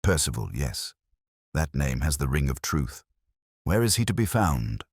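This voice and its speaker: A man's voice: very deep voice, very animated, measured pace, slightly rough, no room sound, studio quality, heavily acted. A man voicing a keen, commanding Victorian detective in an audiobook, precise and authoritative. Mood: keen, deliberating.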